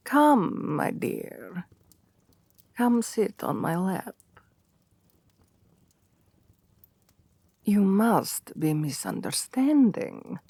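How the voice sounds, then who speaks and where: A woman's voice: mid-range voice, sing-song, takes it slowly, no echo, full character voice. A woman voicing a seductive, domineering vampire queen in an intimate ASMR roleplay, haughty and possessive, with a languid, commanding purr that often drops toward a whisper. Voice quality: breathy, often dropping to whispers. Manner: languid, condescending, commanding purr with soft chuckles.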